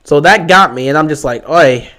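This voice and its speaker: A young man hosting a livestream, talking casually over a football game. His voice is hoarse and strained from a sore throat, and he sounds resigned and fed up. He sits a little high in his pitch range for a man. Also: normal speed, pushed and bright. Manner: frustrated, resigned rambling. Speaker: livestream host. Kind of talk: casual live game commentary.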